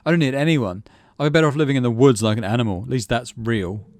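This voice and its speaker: A man's voice: mid-range voice, fast, a little mellow, dry recording, clean studio sound, close to an everyday voice. A man playing a bitter, defiant young male loner in a visual novel, grumbling and snapping with self-deprecation.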